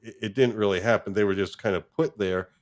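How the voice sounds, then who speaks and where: Deep voiced guy on a casual film podcast, kinda halting, thinking out loud. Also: steady tone.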